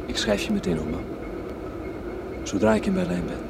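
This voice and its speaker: A man's voice: high voice, brisk, vocal fry, very noisy. An actor playing a wry, unsentimental young Dutch man leaving home in a radio play. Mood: dismissive, reassuring. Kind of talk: radio drama acting.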